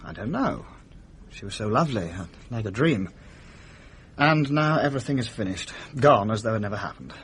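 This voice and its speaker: Troubled, hesitant gentleman, animated. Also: lowish voice, slightly rough, heavily acted. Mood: troubled, wistful. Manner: hesitant, halting, polite. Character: troubled, well-bred Englishman, defensive and wistful. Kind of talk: radio drama acting.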